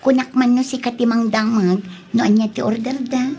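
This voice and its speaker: A woman's voice: fairly high pitch, steady tone, moderate pace, dark tone, a little hiss or hum, natural voice. A woman with an Ilocano accent playing a sweet but bossy young waitress in a radio drama. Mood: sweet, nagging.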